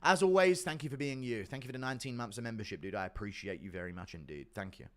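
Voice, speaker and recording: A male livestream host talks with chat and thanks donors in a blunt, offhand manner, swearing freely. His voice sits at a middle pitch and he speaks into a desk mic. The delivery is loose and unscripted. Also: fast talker. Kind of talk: casual livestream chat reading donations.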